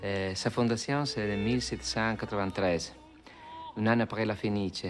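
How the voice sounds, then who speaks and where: Male interviewee, Italian accent, lowish voice, halting tour-guide explanation, faint music underneath. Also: fairly even intonation. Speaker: opera house representative, interviewee.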